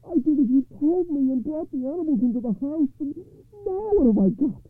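Poor village man, very high-pitched, plaintive, exclamatory, folk-tale storytelling, thin phone-like recording. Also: lively intonation, fairly quick, no echo. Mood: desperate, pleading. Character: desperate poor village man, wailing and pleading.

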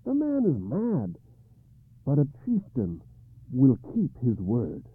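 A man's voice: mid-range voice, huge pitch swings, slow, breathy edge, no echo, pitched above the performer's own voice, exaggerated voice. A man voicing an anxious, proud medieval king who stammers and pleads. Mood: hopeful. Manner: pleading, rising to frantic. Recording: dry, phone-like narrow sound.

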